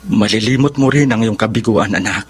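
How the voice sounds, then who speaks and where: A man's voice: lowish voice, brisk, a little hiss or hum, natural voice. An actor playing an earnest, devoted man in an emotional Filipino radio drama, with a dramatic delivery. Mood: grave, advising.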